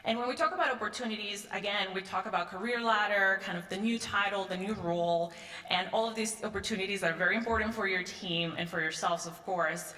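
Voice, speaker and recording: A woman's voice: medium pitch, brisk, bright, forceful tone. A middle-aged woman from Peru giving a conference talk on stage, conversational, with frequent "um" and "right?" tags. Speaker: conference speaker. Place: large conference hall. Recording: echoey hall, long reverb.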